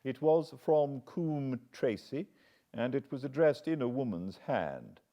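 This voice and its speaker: A man's voice: mid-range voice, expressive, unhurried, warm tone, voice pushed up. A British man voicing a deferential, earnest middle-aged butler who speaks respectfully and apologetically; the recording sounds slightly dull.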